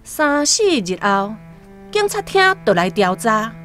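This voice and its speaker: A woman with a Taiwanese accent narrating a literary radio drama as an expressive storyteller. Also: fairly high pitch, slow, soft background music.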